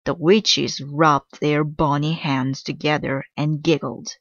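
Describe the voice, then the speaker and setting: Female children's storyteller: lowish pitch, unhurried, expressive narration, dull-sounding close recording. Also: dry recording. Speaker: read-aloud storyteller.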